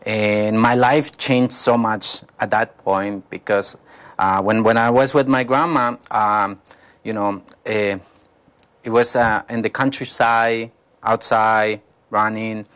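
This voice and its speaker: A man, a pastor, telling his life story in a studio interview, halting and searching for words. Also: lowish voice, steady tone, a bit bright, smooth. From Colombia. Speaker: pastor, guest. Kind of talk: personal testimony interview.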